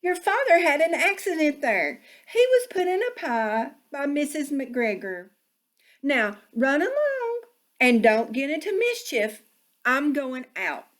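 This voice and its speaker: A woman voicing an old mother rabbit in a children's storybook, slowly and firmly warning her children in a motherly way. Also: high voice, sing-song, fairly bright tone, voice pushed up, full character voice. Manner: slow, emphatic, motherly.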